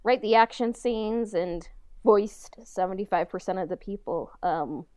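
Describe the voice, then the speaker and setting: A woman co-hosting a podcast, chatting casually in a halting, informal way. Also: mid-range voice, darkish tone, no echo. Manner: halting, informal, frequent "like" and "you know". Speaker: podcast co-host and audio drama writer. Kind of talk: casual conversational chat.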